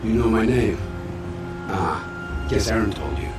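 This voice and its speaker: An actor playing a guarded, prickly artifact scavenger in a video game, gruff, evasive and dismissive. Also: male voice, fairly low pitch, animated, measured pace, over music. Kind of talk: video game cutscene acting. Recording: echoey, distant, noisy background ambience.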